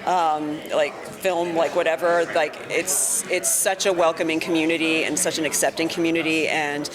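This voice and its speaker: A woman giving casual interview answers in a halting, enthusiastic way, as a voice actor. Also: low-pitched, little pitch movement, poor audio. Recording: busy convention crowd noise. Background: convention crowd chatter.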